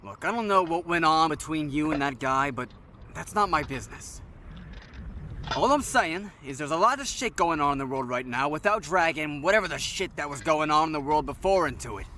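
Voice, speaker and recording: Man acting a bright-voiced, easygoing survivor. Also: on the high side, expressive, fairly quick, a little hiss or hum. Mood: upbeat persuasion. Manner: wry, slangy, teasing. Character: easygoing, philosophical male survivor, restless tinkerer. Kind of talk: audio drama acting, casual dialogue.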